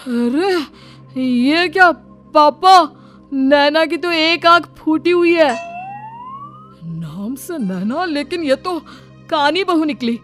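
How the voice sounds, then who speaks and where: A woman's voice: high voice, animated, takes it slowly, soft background music. A woman voicing a greedy, whiny young husband in a cartoon moral story, protesting in an aggrieved way as if cheated. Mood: outraged.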